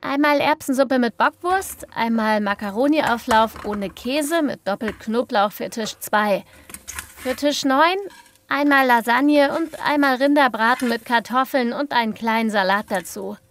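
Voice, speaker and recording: A woman acts as a brisk waitress in a scripted lesson dialogue. Her voice sits fairly high for a woman. Clear background noise and clinking dishes surround her as she works the tables. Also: natural voice. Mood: businesslike. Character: busy, brisk restaurant waitress, impatient with the kitchen.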